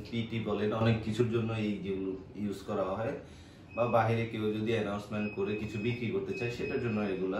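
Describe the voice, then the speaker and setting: Echoey, low-voiced Bangladeshi man presenting products. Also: darkish tone. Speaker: shop presenter. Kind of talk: casual product demonstration. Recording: roomy, distant mic, background noise.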